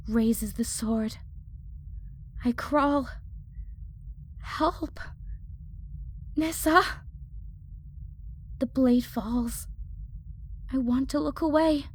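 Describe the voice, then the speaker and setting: A woman's voice: on the high side, slight background noise. A woman playing a hot-headed young female fire mage, narrating an audio drama in the first person with intense, clipped phrases. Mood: pained, struggling, then panicked, straining.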